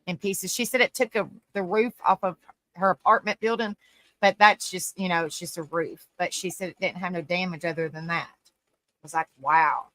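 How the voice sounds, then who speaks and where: Woman, casual chat, bright, dry close sound. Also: fairly low pitch, steady tone, normal speed, dry recording, low-quality recording. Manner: folksy, repeats phrases, says "bless your heart, honey". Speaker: host. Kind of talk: casual live-stream chat.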